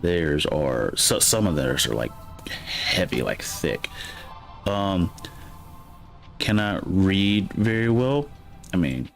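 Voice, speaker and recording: Man, light alabama accent, very low voice, rambling stream chat, faint music behind. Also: expressive, slow, gravelly, pauses often. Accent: light Alabama Southern. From Alabama, USA. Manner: rambling, chatty, self-correcting, reads chat aloud. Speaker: gaming streamer. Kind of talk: casual live-stream chat while playing.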